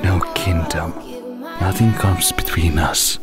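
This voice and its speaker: A man playing a gentle, encouraging lost loved one in an animated drama, speaking in a soothing, reassuring manner. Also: deep voice, slow pace, over music. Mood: tender, reassuring. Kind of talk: animated-series voice acting.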